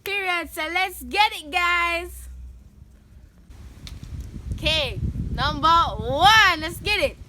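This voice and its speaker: A young Jamaican woman hosting her vlog, chatting animatedly and breaking into loud laughs and shouts. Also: very high-pitched, lively intonation, slow pace, long pauses, slight background noise. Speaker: vlogger host. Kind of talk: casual chat answering questions.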